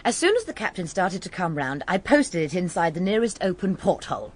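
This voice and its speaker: An actress playing a plucky, scatterbrained young woman sleuth in a radio drama, with a breathy voice and a theatrical, exclamatory manner. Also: on the low side, very animated, fairly quick. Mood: breezy confidence. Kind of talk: BBC radio drama acting.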